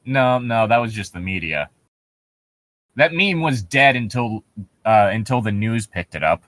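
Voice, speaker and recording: A man's voice: on the low side, lively intonation, measured pace, fairly bright tone, no room sound. A man co-hosting a comedy show, bantering casually and mockingly reading internet stories aloud in a sarcastic, sweary way.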